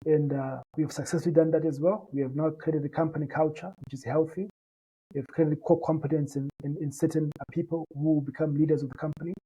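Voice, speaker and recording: Zimbabwean guy, shona first language, founder pitching his company over a video call, warm husky voice, lots of uh and repeats. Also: level tone, fast talker, rough recording. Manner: earnest, repeats words and restarts, many "uh" fillers. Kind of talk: interview, conversational business pitch.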